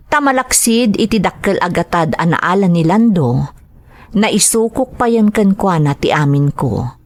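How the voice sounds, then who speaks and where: A woman playing a devoted, self-sacrificing young woman wounded in love, in a melodramatic audio drama. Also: medium pitch. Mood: sorrowful recollection. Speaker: drama actor.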